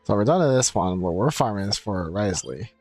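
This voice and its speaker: A man's voice: lowish voice, lively intonation, a little fast, a little mellow, faint music behind. Man rambling casually through a game stream. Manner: rambling, thinking aloud. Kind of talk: casual live gaming commentary.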